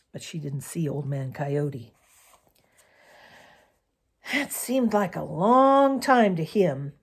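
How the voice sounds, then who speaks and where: A woman narrates a children's story at an unhurried pace. Her voice sits slightly low, and she tells the tale expressively, placing deliberate emphasis on phrases. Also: darkish tone, slightly rough. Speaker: storyteller reading a children's book aloud.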